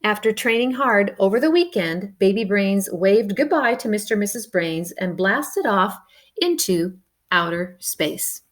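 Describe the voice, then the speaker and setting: A woman reading a children's picture book aloud, expressive and adding playful spoken asides. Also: medium pitch, unhurried. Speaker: storybook reader.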